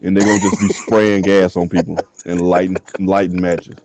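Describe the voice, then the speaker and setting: A man's voice: low-pitched, level tone, normal speed, a little mellow, dry recording, rough recording. A man in his thirties from the US South, co-hosting a podcast, telling loose, profane stories in casual chat. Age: 30s. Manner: loose, profane storytelling with frequent laughs. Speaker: podcast co-host.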